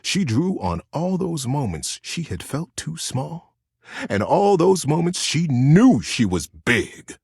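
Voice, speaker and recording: A man reading a children's story aloud in an expressive, suspenseful, dramatic storybook style. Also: a little high, slow, creaky, husky, no room sound, studio quality.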